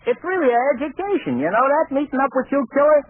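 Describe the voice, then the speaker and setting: High-pitched, chatty gangster henchman. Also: big pitch swings, fast talker, no echo. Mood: delighted, admiring. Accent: American. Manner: tough-guy slang, ungrammatical, garrulous. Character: slangy, chatty gangster henchman charmed by fancy talk. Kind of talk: 1940s radio comedy acting. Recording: muffled 1940s radio recording.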